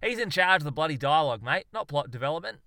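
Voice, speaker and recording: A man voicing a brash, boastful self-styled zoologist with an Australian accent, talking loudly in blustering slang. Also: a little high, big pitch swings, quick pace, no echo, studio quality, voice pushed up, exaggerated voice. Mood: proud.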